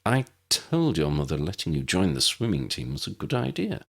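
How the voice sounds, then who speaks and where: Storybook uncle, read by a male narrator: low-pitched, animated, affectionate, wry and formal. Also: moderate pace, creaky, heavily acted. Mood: fond, wry.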